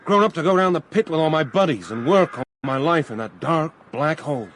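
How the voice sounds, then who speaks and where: An actor playing a brash, sentimental Canadian millionaire with a North American accent in a comic radio drama, theatrical in manner. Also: male voice, fairly high pitch, expressive, a little fast, a bit bright, slight background noise. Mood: wistful, bitter. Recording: faint outdoor ambience with crows. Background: crows and faint outdoor ambience.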